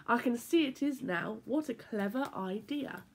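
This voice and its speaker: A woman voicing a kindly, encouraging old teddy bear in a storybook, gentle and expressive. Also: a little high, unhurried, husky.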